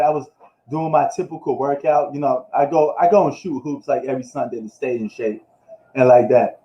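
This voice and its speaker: Slangy man ranting in an echoey room. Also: on the high side. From New York. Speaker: sports streamer. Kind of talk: casual live sports recap. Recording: echoey room, dull lo-fi live stream.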